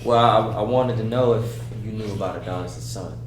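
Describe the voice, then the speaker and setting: A man reading the part of an earnest, hesitant, respectful young man at a table read, halting and casual. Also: lowish voice, lightly acted. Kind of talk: script read aloud at a table read. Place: roomy indoor table read. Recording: echoey room, distant mic, noisy hum.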